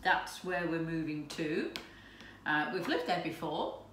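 A woman in her fifties chatting excitedly to camera while cooking in her home kitchen. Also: low-pitched, a little slow. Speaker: lifestyle vlogger. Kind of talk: casual chat to camera. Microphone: camera's built-in mic from a few steps away. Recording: echoey room, distant mic, background noise.

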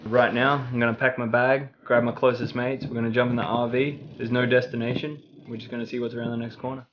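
A young man narrating his own road trip in a relaxed, earnest voice-over. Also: medium pitch, fast talker, dull audio, a little hiss or hum.

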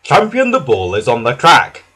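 A man voicing a dutiful policeman, speaking loudly and plainly. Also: mid-range voice, wildly swooping pitch, moderate pace, breathy edge, full character voice. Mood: official.

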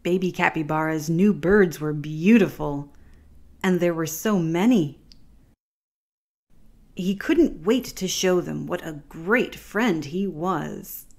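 A woman reading her children's picture book aloud as narrator, gently and expressively. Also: on the low side, slow, vocal fry.

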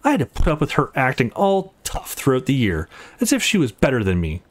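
Man acting out a sneering, cocky young male game bully, contemptuous, with swinging pitch. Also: wildly swooping pitch, a little fast, no echo. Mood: scornful. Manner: taunting, contemptuous.

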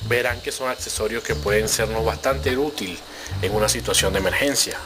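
A man's voice: on the low side, fairly quick. Man explaining survival gear over music. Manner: clear, explanatory presenter tone. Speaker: survival gear channel host. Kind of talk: product review vlog. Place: outdoors in a forest. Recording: music playing under the voice.

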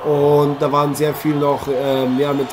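A man's voice: a little high, little pitch movement, a little slow, close to an everyday voice. A man playing a chatty, joking boxing interviewer and commentator in an improvised mock sports radio play, rambling and hesitant. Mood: rambling, genial. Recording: clearly noisy amateur recording.

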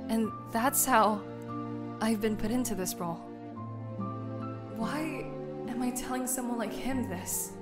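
A woman's voice: a little high, measured pace, pauses often, lightly acted. A young woman acting an earnest tomboyish teenage girl in a manga fandub, with inner monologue. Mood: awkward, sincere. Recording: roomy voice with music behind.

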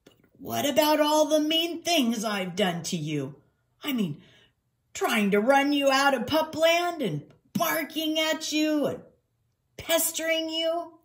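A woman's voice: sits high, animated, slow, voice pushed up, heavily acted. A woman voicing an injured male boxer dog in a storybook, groggy and grumbling, with a groaning delivery. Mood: grudging gratitude.

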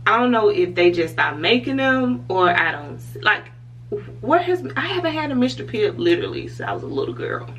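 A woman's voice: on the high side, big pitch swings, a little fast, fairly bright tone, distant mic, muffled recording, slight background noise. A young woman, talking frankly to camera in a hotel room, laughing often. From Southern US. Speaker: vlogger. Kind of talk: casual chat to camera.

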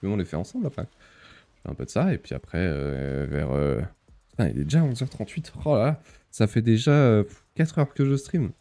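A man's voice: on the low side, very animated, fairly quick, dark tone. A man in his thirties chatting casually while streaming a game, talking with lots of hesitant fillers and reading chat aloud. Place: small room with purple lighting.

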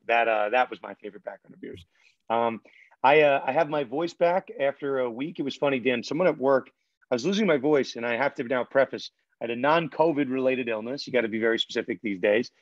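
A man's voice: mid-range voice, no room sound. A man in his thirties co-hosting a casual legal podcast from a law office. He talks in a friendly way, steers the talk, and uses a lot of fillers. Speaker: podcast co-host, lawyer. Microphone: laptop webcam video call. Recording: dry, slightly dull video-call audio.

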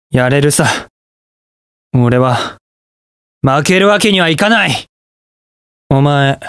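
A man's voice: fairly high pitch, a bit bright, dry recording. A young man voicing a cocky, aloof masked rider hero in a video game, with a cool, dry, self-assured delivery. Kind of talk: game voice lines.

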